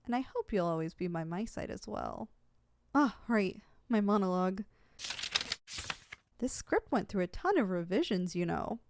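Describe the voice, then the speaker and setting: A woman's voice: medium pitch, wildly swooping pitch, normal speed, vocal fry, pauses often, background noise. A woman playing a proud but easily flustered, lovesick young supervillain in an affectionate, dramatic ASMR roleplay. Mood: tender, then playful.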